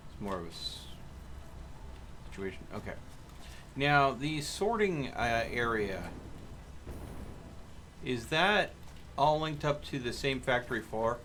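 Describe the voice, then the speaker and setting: Bright-voiced man chatting over a game. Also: medium pitch, lively intonation, slow, long pauses, a little hiss or hum. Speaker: gaming streamer, host. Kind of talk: casual gameplay chat with co-players.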